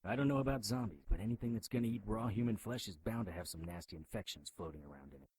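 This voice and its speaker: A man's voice: on the low side, quick pace, darkish tone, slight background noise, lightly acted, poor audio. A man playing a blunt, skeptical private investigator in an audio drama, wry and urgent. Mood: wry, practical. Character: gruff, skeptical amnesiac private investigator hero.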